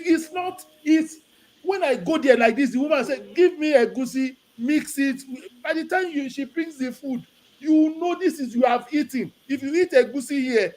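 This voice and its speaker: A man from Nigeria, now living in the UK, calling in to a talk show and telling a lively story, with Yoruba phrases in his speech. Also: very high-pitched, low-quality recording. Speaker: caller. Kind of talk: casual call-in chat. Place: lives in the UK.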